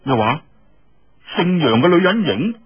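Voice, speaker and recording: A curious young swordsman hero from an audiobook, played by a male storyteller, speaks in a husky, unhurried voice. He is familiar and probing, drawing out his questions. The sound is slightly muffled. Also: a little high, sing-song, heavily acted. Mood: puzzled.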